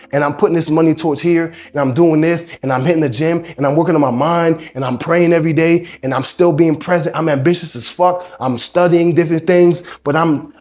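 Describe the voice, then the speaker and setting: A man's voice: on the high side, a little mellow. A man with a congested voice from a cold, coaching men in an emphatic, preachy talk that swears freely. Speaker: dating coach. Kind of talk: motivational talk to camera.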